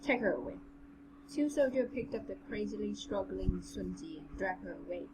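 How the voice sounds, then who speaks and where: A woman with a mid-range voice co-hosts a casual read-along. She talks in a hesitant, rambling and earnest way, as if among friends. There is clear noise behind her. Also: medium pitch, expressive, normal speed. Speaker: podcast co-host reading a novel aloud. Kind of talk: casual chat and amateur read-aloud with commentary.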